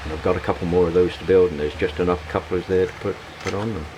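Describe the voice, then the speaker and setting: A man giving a casual, unscripted hobby vlog narration in a halting way, often repeating words. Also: low-pitched, steady tone, a little mellow, a little hiss or hum, poor audio.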